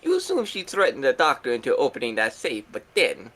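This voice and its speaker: A man voicing a suave, cutting young German prosecutor, smooth and condescending, in amateur video game voice acting. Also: mid-range voice, huge pitch swings, fairly quick, pitched below the performer's own voice. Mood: smug, reasoning. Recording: loud music behind the voice. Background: video game music.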